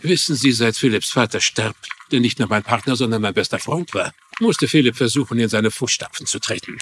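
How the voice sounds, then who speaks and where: An actor playing a courteous older investment firm partner in a dubbed TV drama. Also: male voice, mid-range voice, a little fast, a bit bright, a little hiss or hum, close to an everyday voice. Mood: cordial. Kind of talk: German-dubbed TV drama acting.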